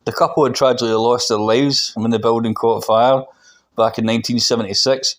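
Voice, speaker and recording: Male author, narrating his own novel in an audiobook style, mid-pitched voice. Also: steady tone, a little fast. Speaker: author reading own book.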